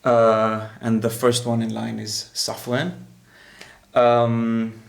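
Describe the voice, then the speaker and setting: A man hosting and explaining music production in casual talk, halting as he searches for words. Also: mid-range voice, completely flat delivery, creaky.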